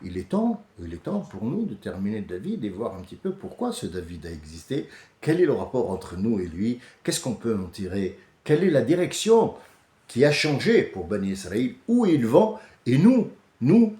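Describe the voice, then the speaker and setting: A French man hosting a casual monologue, animated and often restarting, rising to heated outbursts. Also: mid-range voice, normal speed. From France. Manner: many restarts and hesitations. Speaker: host. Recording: echoey room.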